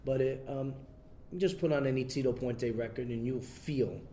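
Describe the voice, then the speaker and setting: A man being interviewed, talking in a relaxed way with many fillers and restarts. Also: medium pitch, moderate pace, slight background noise. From United States. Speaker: interview guest, son of a famous bandleader. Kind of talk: casual interview.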